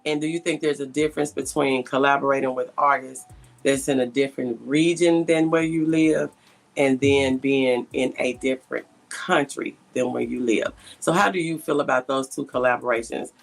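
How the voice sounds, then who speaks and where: A woman hosting a conversational interview show, asking a guest questions in a gravelly voice. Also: rumbling low, fairly even intonation, moderate pace, soft background music. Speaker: podcast host interviewing a guest.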